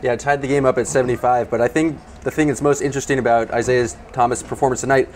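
A man's voice: medium pitch, fairly even intonation, quick pace, darkish tone, a little hiss or hum. A man talking as a panelist in a casual basketball discussion. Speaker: sports panelist.

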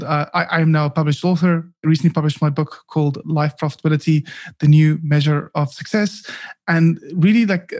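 A man explains his ideas in a measured, somewhat monotone way. His voice sits a little high for a man, and he often circles back and restarts his sentences as he thinks aloud. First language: Afrikaans. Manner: thoughtful, many fillers and restarts. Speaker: guest, startup founder and author. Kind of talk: casual podcast interview.

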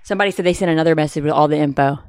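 A woman co-hosting a casual livestream, talking in an animated, slangy, giggly way. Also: low-pitched, very fast, no echo. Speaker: co-host.

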